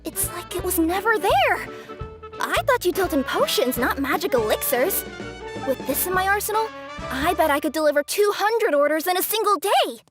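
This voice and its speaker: A woman's voice: extremely high pitch, lively intonation, moderate pace, music in the background. A woman voicing a perky, chatty young cat-girl courier in a video game, bubbly and emphatic. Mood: excited. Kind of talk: English video game voice acting.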